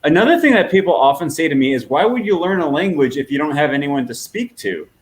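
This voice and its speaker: A man's voice: fairly high pitch, sing-song, quick pace. A man interviewing a guest in casual conversation, listening closely and agreeing with short replies. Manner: attentive, frequent short agreements.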